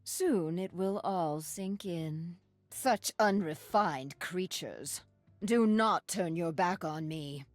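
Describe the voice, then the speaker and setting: A haughty female serpent villain from a video game. Her voice is silky and rather low, swooping widely as she taunts. She is venomous and seductive, heard dry and close over faint music. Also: slow, dry recording. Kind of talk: video game champion voice lines.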